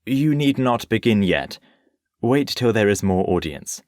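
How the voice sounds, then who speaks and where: Smooth-mannered worldly noble, low pitched, unbothered, bit condescending, audio play, no room echo. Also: male voice, animated, measured pace, darkish tone, clean studio sound. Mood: patronising. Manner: urbane, patronising. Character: worldly, amused, unruffled Parisian nobleman.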